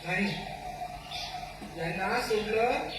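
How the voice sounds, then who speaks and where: A man's voice: high-pitched, extremely slow. A man acting a fierce, vengeful jungle animal in a stage play, declaiming accusations and rhetorical questions. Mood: stern, vengeful. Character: vengeful, fierce jungle animal who wants captive humans killed. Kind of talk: Marathi one-act stage play acting. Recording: echoey hall from far off, noisy.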